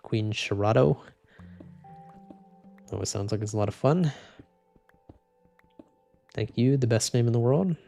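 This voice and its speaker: A young man casually chatting with viewers while playing a game, speaking in a flat, low-energy way with a slightly congested voice. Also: measured pace, vocal fry, pauses often. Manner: flat, low-energy, self-described boring voice. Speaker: streamer reading chat. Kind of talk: casual gaming livestream chat. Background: faint game music and keyboard typing.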